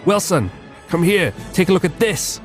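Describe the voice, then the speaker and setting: Man playing a bumbling male detective, pompous, blustering, highish voice, lively swoops, comic radio drama, music behind. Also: normal speed. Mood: breezy. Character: pompous, dim-witted, excitable British male detective.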